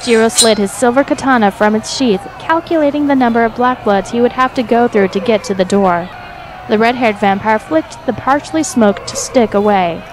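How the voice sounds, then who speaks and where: A woman's voice: a little high, moderate pace, slight background noise. A woman reading scripted narration for a dramatic fan fiction audio story. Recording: music behind the voice. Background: music.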